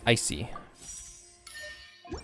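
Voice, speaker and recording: A man's voice: very slow, over music. A man giving casual, sweary game commentary, often muttering to himself and exclaiming. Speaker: game streamer.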